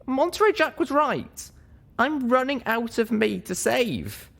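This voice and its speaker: A man's voice: very high-pitched, big pitch swings, a little slow, vocal fry, fairly bright tone, pitched above the performer's own voice, heavily acted. A man voicing a young female pony, earnest and troubled, in an audiobook story. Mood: anguished, guilty. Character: young female pony, drunk, anguished, guilt-ridden.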